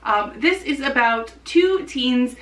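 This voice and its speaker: A woman's voice: high-pitched, expressive, takes it slowly. A woman chatting casually and enthusiastically about books as a vlogger, recorded in a roomy space at some distance from the mic. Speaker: book vlogger. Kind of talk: casual book review chat to camera.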